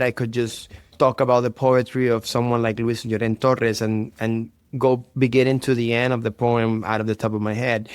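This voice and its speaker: Clear-voiced Puerto Rican man, earnest. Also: medium pitch, no room sound, studio quality. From Puerto Rico. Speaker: interview guest, performing artist. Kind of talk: reflective conversational interview.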